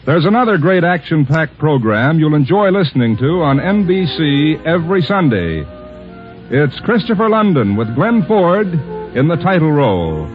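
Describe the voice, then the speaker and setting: A man's voice: fairly high pitch, big pitch swings, normal speed. A male American announcer reading a script in old-time radio style. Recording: dull vintage radio recording with music.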